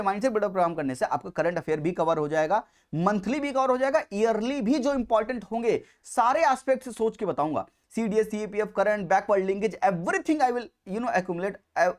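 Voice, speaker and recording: An Indian man delivers an exam-coaching lecture. His intonation swings up and down in a very animated way. He stresses and repeats key points, moving between Hindi and Indian-accented English. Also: high-pitched.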